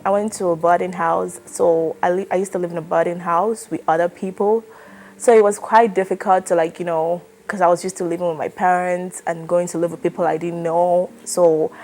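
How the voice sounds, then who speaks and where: Chatty young woman from Nigeria. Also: on the low side. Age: 20s. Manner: relaxed, chatty, many fillers like "like" and "you know". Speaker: interviewee. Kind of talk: oral history interview.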